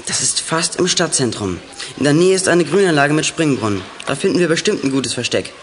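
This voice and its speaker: A man's voice: a little high, expressive, a little fast, lightly acted. An actor playing a bold, cunning teenage boy detective in a youth radio play, cheeky and self-assured. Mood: brisk, practical. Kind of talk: 1980s German youth radio play acting. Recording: noisy old radio play recording.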